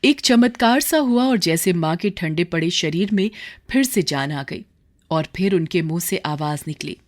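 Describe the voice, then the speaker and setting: A woman narrates a scripted moral tale as a storyteller. Her voice is a little low for a woman, with highly animated intonation that carries the drama. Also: moderate pace.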